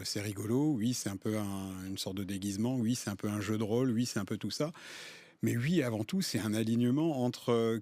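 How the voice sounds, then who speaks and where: A man's voice: medium pitch, a little mellow, no room sound. A man speaking as a panel guest, fluent and enthusiastic. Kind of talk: casual panel discussion.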